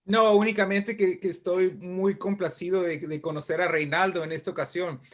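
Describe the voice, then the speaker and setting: A man's voice: sits high, steady tone, moderate pace. A man with a Mexican accent, a guest writer telling anecdotes in an interview, hesitant and repeating his words.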